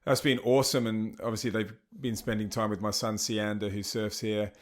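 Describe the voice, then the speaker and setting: A middle-aged man with an English accent talks easily as a podcast guest, dropping surf slang between his "uh"s and "you know"s. His voice sits a little low. He tells stories at an unhurried, chatty pace. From England. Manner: relaxed storytelling with surf slang and many fillers. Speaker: charity founder, guest. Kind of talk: casual interview chat.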